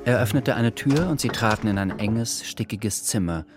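Man narrating a radio play, lowish voice, literary scripted read, faint music behind. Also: animated, normal speed.

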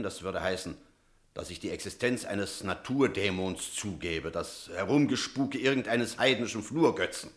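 An actor playing an energetic, rational village priest in a German radio drama, speaking in a decisive, emphatic way. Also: male voice, mid-range voice, lightly acted. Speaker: character in a radio play.

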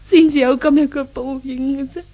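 An actress with a Hong Kong Cantonese accent playing a proud young gangster's daughter held captive, haughty and tearful in a 1970s radio drama. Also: female voice, sits high, steady tone, brisk, mellow, close to an everyday voice. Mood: despairing.

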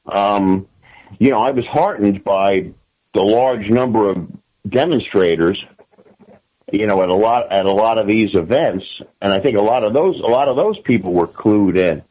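An American man with a lowish, classic radio announcer sort of voice. He talks as a guest in a political interview, in a halting way, circling back to repeat the start of his phrases. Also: a little mellow, rough recording.

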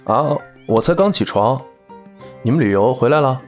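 A man's voice: mid-range voice, expressive, moderate pace, darkish tone, faint music behind, natural voice. A man playing a wry grown son in his late thirties, casual and dry in a drama. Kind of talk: naturalistic drama dialogue.

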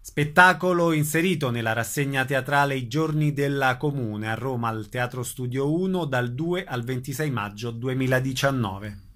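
A man's voice: mid-range voice, moderate pace, a bit bright. A man reading out a scripted announcement as a radio announcer.

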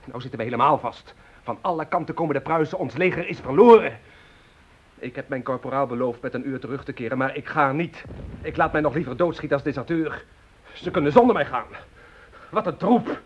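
An actor playing a distraught, despairing young French soldier in a Dutch radio drama, pleading and fatalistic. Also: male voice, medium pitch, fairly quick, lightly acted. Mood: bitter despair. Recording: dull, hissy old radio play recording.

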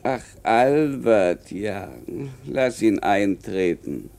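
An actor playing an ailing, imperious old lawyer in a radio drama, weary but commanding. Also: male voice, lowish voice, lively intonation, very slow, vocal fry, a little mellow, heavily acted.

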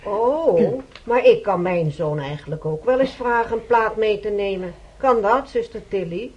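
Een actrice die in een hoorspel een pietluttige, veeleisende ziekenhuispatiënte met een hese stem speelt. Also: female voice, mid-range voice, unhurried, slightly rough, lo-fi sound. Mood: demanding. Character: fussy, demanding, prim female hospital patient. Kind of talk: radio drama acting.

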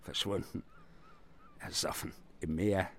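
An actor playing a rustic wandering village fiddler, sly and bitter, talking in clipped, folksy, mocking phrases in a radio drama. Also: male voice, sits low, fairly even intonation, slow, slight background noise. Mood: bitter, indignant. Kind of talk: German radio drama acting.

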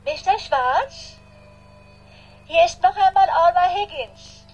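A woman's voice: very high-pitched, slow, a little mellow, dull audio, soft background music. An actress in a radio play playing a polite, grateful woman who leaves a formal, courteous phone message. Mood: politely reproachful, grateful.

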